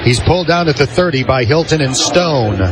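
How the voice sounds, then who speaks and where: Higher-voiced man calling live football. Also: expressive, brisk, very noisy. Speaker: football play-by-play announcer. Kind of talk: live radio sports commentary.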